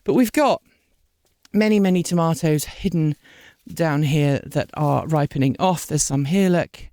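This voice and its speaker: A woman's voice: deep voice, measured pace, warm tone, no room sound, clean studio sound. A woman hosting an unscripted garden vlog outdoors, chatting casually with lots of ums while recovering from a cold and a bit sniffly. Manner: chatty, rambling, with many ums. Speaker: vlog host. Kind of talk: scripted outro thanking supporters.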